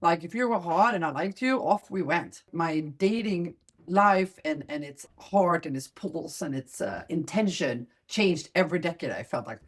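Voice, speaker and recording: A middle-aged woman from Sweden, hosting a podcast in a chatty, candid and animated way. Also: on the low side, moderate pace, breathy edge. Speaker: podcast host. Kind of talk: casual chat.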